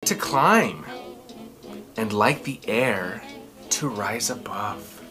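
A man's voice: lowish voice, animated, very slow, over music. A man in his thirties hosting a children's story time and book review with a theatrical, exaggerated, emphatic delivery. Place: indoors, small room at home.

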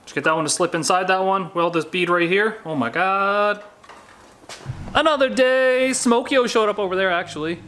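A man's voice: high-pitched, moderate pace, pushed and bright, a little hiss or hum. A Canadian man in his thirties talking in a jokey, slangy way as he hosts a casual workshop vlog from a garage. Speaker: vlog host and fabricator.

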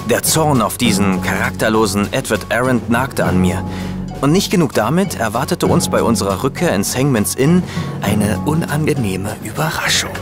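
An actor playing a loyal, hot-headed detective's companion and chronicler in an audio drama, with earnest, expressive delivery. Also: male voice, mid-range voice, moderate pace, loud music behind the voice, lightly acted. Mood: brooding narration. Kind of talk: German audio drama acting with first-person narration.

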